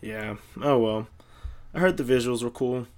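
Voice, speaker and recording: A man's voice: medium pitch, normal speed, a little mellow. A man co-hosting a casual podcast about movies, joking wryly and sarcastically. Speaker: podcast co-host.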